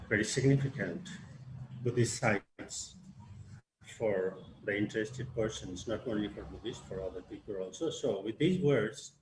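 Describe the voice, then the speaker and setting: A low-voiced man speaks haltingly, filling gaps with "uh" while he moderates an online talk. The call sounds roomy and echoey. A faint hiss sits under it. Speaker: webinar moderator presenting slides. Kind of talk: hesitant presentation with many fillers.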